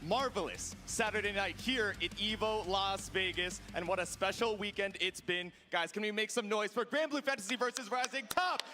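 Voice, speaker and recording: A man's voice: high-pitched, moderate pace, hardly any pauses. A man commentating an esports broadcast with casual, slangy banter. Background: faint music.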